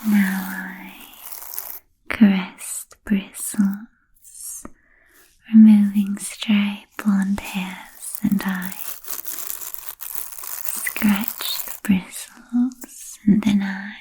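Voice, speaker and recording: A woman playing an ancient, refined, playfully macabre vampire in a whispered ASMR roleplay, speaking softly and formally with trailing pauses. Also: mid-range voice, flat delivery, heavily acted, rough recording. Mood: teasing, musing. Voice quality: soft breathy whisper. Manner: slow, deliberate, archly formal with trailing pauses.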